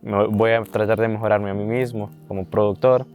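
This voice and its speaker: Flat, earnest Honduran man. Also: lowish voice, quick pace, soft background music. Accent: Honduran Spanish. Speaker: coffee producer. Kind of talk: documentary interview.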